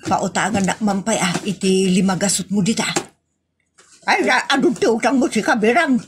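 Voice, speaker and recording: A woman voicing a nagging, quick-tempered wife in a comedic audio drama, complaining and scolding. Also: medium pitch, noisy background, natural voice.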